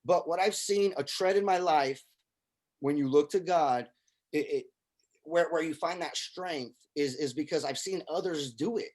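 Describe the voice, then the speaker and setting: A man's voice: fairly high pitch, a little slow, a little mellow. Earnest man repeating his words. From Syria. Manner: earnest, repeats words when starting sentences. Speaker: guest, army veteran and film producer. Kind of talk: casual conversation.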